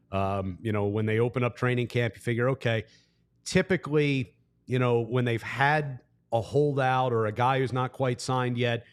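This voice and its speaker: A man who works as a sports broadcaster, telling stories casually as a podcast guest. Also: mid-range voice. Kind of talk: casual interview storytelling.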